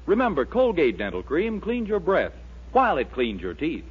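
A man's voice: on the high side, huge pitch swings, normal speed, lo-fi sound. An American man doing scripted 1940s radio announcing on an old recording.

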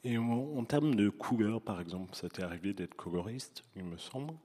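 A man's voice: fairly low pitch, moderate pace, dark tone, husky, big-room sound. A man interviewing a comic book artist, asking long, searching questions with fillers.

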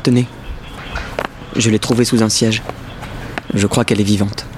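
An actor playing a polite, guarded, worried official in a naturalistic audio drama. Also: male voice, on the low side, level tone, normal speed, lightly acted. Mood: polite, gentle. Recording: clear outdoor-like ambient noise.

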